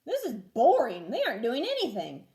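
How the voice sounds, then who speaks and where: A woman doing a whiny, high-pitched little schoolboy. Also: wildly swooping pitch, normal speed, dark tone, full character voice. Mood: bored, complaining. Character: whiny, bored young schoolboy on a field trip.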